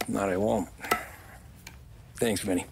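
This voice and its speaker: An actor playing a loyal, tough, reserved mob soldier in a video game cutscene, understated and matter-of-fact. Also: male voice, lowish voice, fairly even intonation, takes it slowly, creaky, very noisy.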